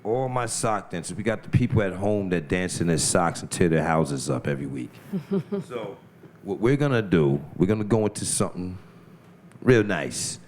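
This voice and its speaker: A man working as a DJ, firing off hyped banter and shout-outs to listeners. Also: low voice, animated, moderate pace, slight background noise. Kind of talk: hype DJ banter over music. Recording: loud music behind. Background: loud electronic dance music.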